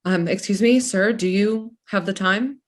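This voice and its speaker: Woman acting anxious brave young war mom, medium pitch, stage reading. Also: a little slow, close to an everyday voice. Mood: nervous, guarded. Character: nervous, resolute young mother in wartime hiding.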